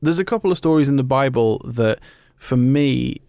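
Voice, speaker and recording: A man's voice: mid-range voice, normal speed, vocal fry, music in the background. A man hosting a podcast, talking conversationally about faith in an earnest, explanatory way. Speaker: podcast host. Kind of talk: conversational talk on faith and football.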